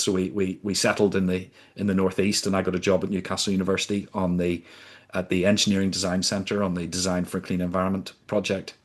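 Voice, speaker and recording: A man in his fifties from the UK, a podcast guest recounting his career in a measured, deliberate way. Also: sits low. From United Kingdom. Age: 50s. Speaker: podcast guest, sustainability consultant. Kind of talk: reflective storytelling in conversation.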